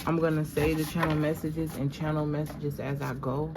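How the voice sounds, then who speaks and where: A woman with a low voice gives a channeled tarot reading and sounds a little stuffy from allergies. She talks casually and drifts from thought to thought, calling her viewers "y'all". Steady background noise runs under the recording. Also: normal speed. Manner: conversational, meandering, addresses viewers as "y'all". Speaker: tarot reader.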